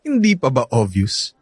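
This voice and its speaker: Cocky Filipino playboy, animated pitch. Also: male voice, slow, breathy edge, dry recording, heavily acted. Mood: teasing, boastful. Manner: boastful, teasing, code-switches into English. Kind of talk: Filipino radio drama acting.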